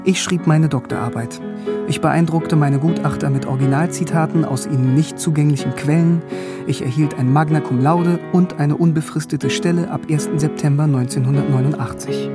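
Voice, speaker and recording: A man's voice: fairly high pitch, fairly even intonation, normal speed, over loud music, natural voice. An actor playing an East German academic who narrates his own story in a radio drama, wry, sober and matter-of-fact.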